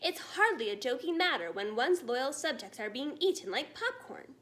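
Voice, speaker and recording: Woman doing a flustered grumpy storybook king, incredulous, high and jumpy voice. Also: very animated, normal speed, sharp tone, heavily acted. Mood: testy. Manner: agitated, incredulous. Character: testy, alarmed foreign king on the telephone.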